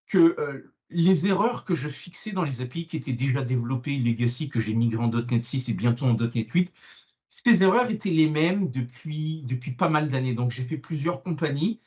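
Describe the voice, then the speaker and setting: A man from France talks at a middling pitch as a guest on a podcast, dialed in over a video call. He is hesitant and stops often on fillers, and the dull, lo-fi call line takes the top off his voice. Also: lively intonation, a little mellow. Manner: hesitant, lots of pauses and euh. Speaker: guest author and developer. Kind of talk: casual interview answers.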